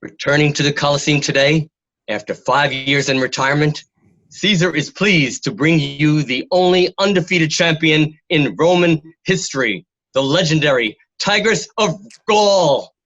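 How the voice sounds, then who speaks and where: A man's voice: fairly high pitch, moderate pace, a bit bright, natural voice. A man playing a formal, grandiose Roman arena announcer in a radio play. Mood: grand, ceremonial. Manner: formal, ceremonial. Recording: slightly dull, lo-fi home microphone.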